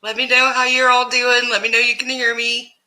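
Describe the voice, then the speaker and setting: A woman hosting a casual livestream, greeting viewers by name in a loud voice that carries, chatting and laughing easily. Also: a little high, level tone, fairly quick, low-quality recording. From Ohio, USA. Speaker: livestream host.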